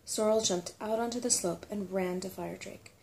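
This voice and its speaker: A woman calmly reading a storybook aloud as unhurried ASMR narration. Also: mid-range voice, creaky, a little hiss or hum, low-quality recording. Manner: calm, unhurried.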